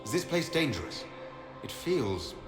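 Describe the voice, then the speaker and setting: Resolute war leader, direct, lively intonation, video game dialogue, echoing space with music. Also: male voice, medium pitch, a little slow. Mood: wary, questioning. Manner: decisive, direct, questioning. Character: resolute male commander leading a war council. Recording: reverberant, with game music behind.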